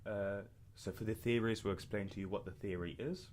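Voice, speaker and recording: Low-pitched young man, podcast host. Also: fairly even intonation, a little fast, a little mellow, a little hiss or hum. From Berlin, Germany. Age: young adult. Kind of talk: casual podcast chat.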